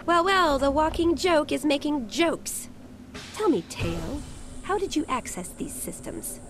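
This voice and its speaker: High-pitched, cocky female genius. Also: expressive, slight background noise. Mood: mocking. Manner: flippant, condescending, brisk. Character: brash, cocky female asura genius golem expert. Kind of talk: video game cutscene voice acting.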